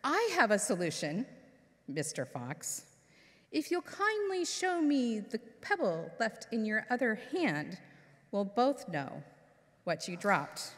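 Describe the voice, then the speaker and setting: A woman's voice: medium pitch, sing-song, takes it slowly. A woman voicing a clever young girl goose in a storybook tale, sweet, polite and coy. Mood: polite, sly. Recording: echoey hall with long reverb.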